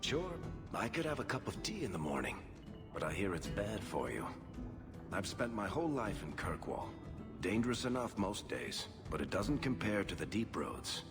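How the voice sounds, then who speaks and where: A low-voiced, roguish dwarf from a fantasy game. He tells things with sardonic, self-amused flair and swings his pitch freely, with music playing behind him. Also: big pitch swings, moderate pace. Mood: self-amused, breezy. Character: wry roguish male dwarf adventurer, charming younger son. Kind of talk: video game character dialogue.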